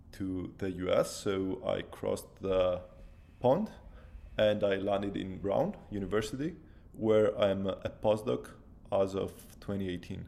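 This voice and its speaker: A man in his thirties speaks with a Greek accent and a low, gravelly voice full of fry. He answers as an interview guest, laying out his thoughts step by step with plenty of "so" and "like". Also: a little mellow. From Greece. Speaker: guest, postdoctoral researcher.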